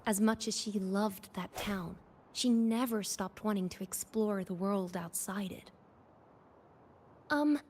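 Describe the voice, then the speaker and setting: A woman's voice: fairly high pitch, a little mellow, breathy edge, heavily acted. A woman playing an earnest, wistful young woman who is grieving her grandmother in a video game.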